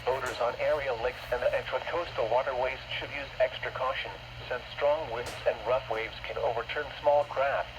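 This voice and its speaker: A man's voice: mid-range voice, steady tone, normal speed, hardly any pauses. A man reading a formal, impersonal scripted weather bulletin in clipped segments, heard over a weather radio broadcast. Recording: noisy radio reception, static.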